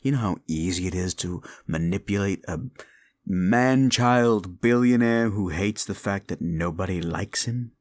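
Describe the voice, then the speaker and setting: A man voicing a scornful male demon bound by a wish contract, talking down to the listener in a slow, mocking audio roleplay. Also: low-pitched, darkish tone, no room sound. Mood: gloating.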